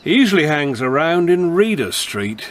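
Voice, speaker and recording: An actor playing a middle-aged, seasoned policeman with a husky voice, steady and firm, in a radio drama. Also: a little high, normal speed. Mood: matter-of-fact. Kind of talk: radio drama acting with first-person narration.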